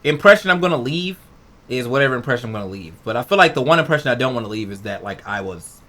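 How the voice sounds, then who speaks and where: Man, casual podcast chat, very lively intonation, sharp bright voice, emphatic, slangy, repetitive phrasing. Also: medium pitch, fast. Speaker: podcast co-host.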